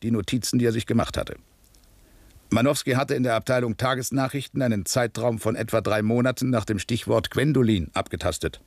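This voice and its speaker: A man's voice: fairly low pitch, gravelly, a little mellow, no room sound, heavily acted. An actor playing a dutiful male security policeman, giving precise, bureaucratic testimony in a radio drama. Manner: precise, bureaucratic, matter-of-fact.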